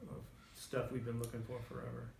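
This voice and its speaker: A man's voice: medium pitch, monotone, a little fast, vocal fry, a little mellow, roomy sound, slight background noise. An enthusiastic man co-hosting a casual chat in a record store, talking with bravado and often over his partner. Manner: enthusiastic, talks over others. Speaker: co-host.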